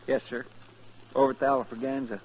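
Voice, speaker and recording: A man's voice: medium pitch, level tone, a little fast, dull audio, natural voice. A man playing a deferential, hapless marshal's sidekick in a 1950s radio western, folksy and plaintive with a rural American drawl. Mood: sheepish, aggrieved. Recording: faintly noisy 1950s radio recording.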